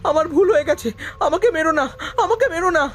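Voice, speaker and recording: A man's voice: very high voice, animated, quick pace, a bit bright, voice pushed up. A man voicing a frightened traveler in a horror cartoon, panicked and pleading, repeating himself. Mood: terrified pleading. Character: terrified male traveler pleading for his life.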